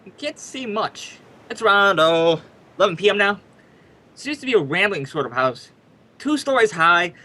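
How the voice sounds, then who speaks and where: A man's voice: high voice, big pitch swings, normal speed, pushed and bright, exaggerated voice. A man acting a brash, wisecracking ghost hunter who hosts a radio program, in a chatty, showy old-time radio drama style. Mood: brisk, wry.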